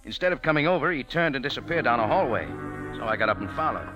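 An actor playing a wisecracking American cafe owner who narrates with a dry, hardboiled manner in a 1940s radio drama. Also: male voice, mid-range voice, expressive, fast, natural voice. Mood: narrating. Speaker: narrator and lead. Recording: old radio broadcast with music.

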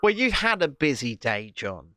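A man's voice: on the high side, sing-song, moderate pace, sharp tone, no room sound, clean studio sound. A man in his thirties co-hosting a podcast, teasing his partner and reading out listener comments. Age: 30s. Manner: teasing, swears casually. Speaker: podcast co-host.

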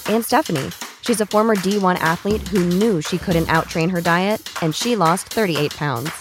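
A woman's voice: on the low side, moderate pace, over music. A woman doing scripted advertisement voice-over narration.

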